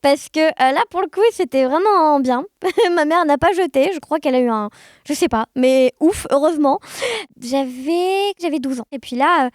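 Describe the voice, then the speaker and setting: High-pitched young woman, expressive. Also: moderate pace, no echo, studio quality. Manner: animated, expressive, uses sound words. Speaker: podcast guest. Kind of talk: casual conversational storytelling.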